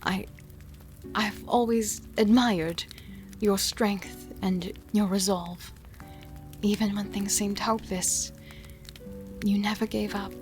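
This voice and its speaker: An actress playing a caring, devoted young healer in a dark fantasy audio drama, speaking softly and intimately. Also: female voice, medium pitch, steady tone, takes it slowly, pauses often, close to an everyday voice. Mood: tender, confessional. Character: caring, soft-spoken young female healer, devoted and mysterious. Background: music with rain sounds.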